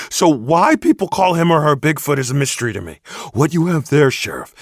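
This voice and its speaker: A man voicing an excitable, pushy male Bigfoot hunter who talks in a shrill, frantic, indignant way, in an audiobook. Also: no echo, clean studio sound.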